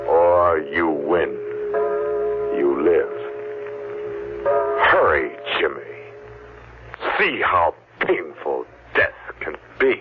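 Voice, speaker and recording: An actor playing a vengeful, sadistic rich man in a 1940s radio thriller, speaking in a theatrical, deliberate, menacing way. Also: extremely low pitch, lively intonation, exaggerated voice. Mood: gloating urgency. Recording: old muffled radio recording, loud music.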